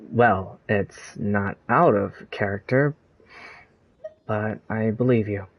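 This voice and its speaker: A man's voice: lowish voice, slow pace, husky, dry recording. A man doing casual role-play over a video game, subdued and hesitant. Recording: dull, lo-fi microphone.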